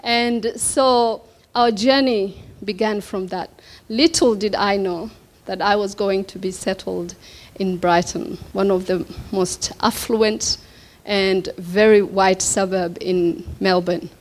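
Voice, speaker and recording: A woman from Somalia gives a keynote talk at an evening event, speaking at a slow, unhurried pace. Her voice sits at a medium pitch. She pauses often and fills gaps with small hesitations as she tells her story. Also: steady tone. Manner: slow, reflective, with many pauses and fillers. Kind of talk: personal story speech. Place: event hall before an audience.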